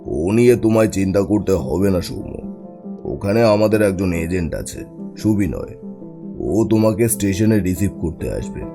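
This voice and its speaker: A man's voice: sits low, lively intonation, normal speed. A man playing an older office boss, authoritative and coaxing, in acted cartoon dialogue. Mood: instructing. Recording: music behind the voice.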